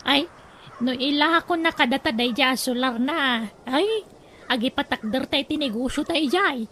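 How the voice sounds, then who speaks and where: An actress with an Ilocano accent playing a conniving middle-aged wife in a radio drama. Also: female voice, high-pitched, unhurried, a bit bright, a little hiss or hum. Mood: sly, eager. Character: conniving wife egging on her scheming husband.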